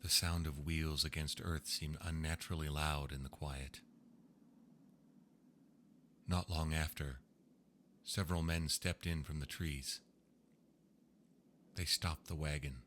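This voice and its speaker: A man reading old ghost stories aloud in a slow, hushed storytelling manner. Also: a bass voice, steady tone, a little hiss or hum. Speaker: narrator. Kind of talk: scripted ghost story narration.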